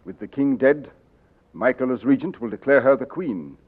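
An actor in a 1940s radio drama playing a stern, loyal army colonel who speaks in a grave, urgent, clipped way. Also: male voice, medium pitch, lively intonation, normal speed, lightly acted. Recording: tinny old radio broadcast.

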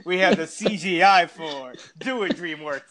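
A man's voice: high-pitched, normal speed, a bit bright. A man co-hosting a podcast, chatting casually about movies in a playful, joking way. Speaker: podcast co-host.

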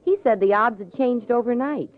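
An actress playing a flirty, chatty cigar stand clerk in a vintage radio crime drama. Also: female voice, a little high, moderate pace, lightly acted. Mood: gossipy. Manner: sassy, chatty. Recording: tinny vintage radio broadcast.

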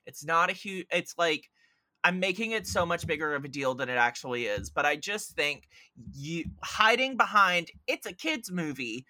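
A man's voice: fairly high pitch, sing-song, normal speed, sharp tone, no echo. A man chatting casually about films as a podcast guest, opinionated and emphatic, with sarcastic jabs and some swearing. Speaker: podcast guest film critic. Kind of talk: casual film discussion.

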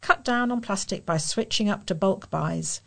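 A woman's voice: medium pitch, moderate pace, vocal fry. A woman with a British accent reading a scripted article aloud as a volunteer news reader.

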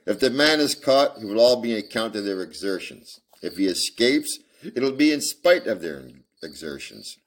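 A man reading aloud and voicing a brisk, sharp-witted male detective, raising his voice for the part. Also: lowish voice, sing-song, voice pushed up. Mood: amused.

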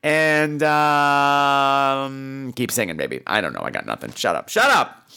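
Sassy, animated male podcast host. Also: medium pitch, unhurried, gravelly, smooth. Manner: campy, sassy, profane. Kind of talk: casual solo chat, answering listener calls.

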